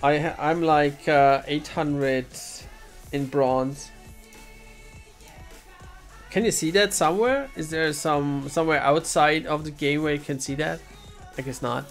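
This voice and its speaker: A man's voice: on the high side, measured pace, long pauses, soft background music. A man from Bavaria, a native German speaker, chatting casually on a game stream, often restarting sentences and chuckling.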